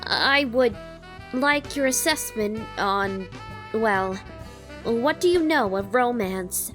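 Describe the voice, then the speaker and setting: A woman plays an earnest young robot woman in a comic dub. Her voice sits a little high, and her phrasing is formal, literal and unsure about feelings. Music plays clearly behind her. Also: lively intonation, takes it slowly, a bit bright. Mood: hesitant. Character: earnest, naive young female robot puzzled by emotion. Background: prominent music.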